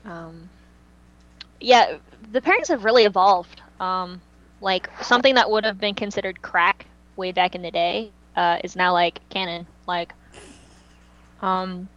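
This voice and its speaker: A woman's voice: fairly low pitch, unhurried, a bit bright, highs cut off. A woman hosting a podcast, chatting casually in an informal, slangy way. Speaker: podcast host.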